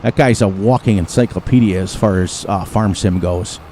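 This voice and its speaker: A mellow, relaxed man rambles through casual commentary for his gaming channel. He wanders off on tangents and laughs lightly at himself along the way. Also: fairly low pitch, normal speed, slight background noise. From United States. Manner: rambling, chatty, chuckles often.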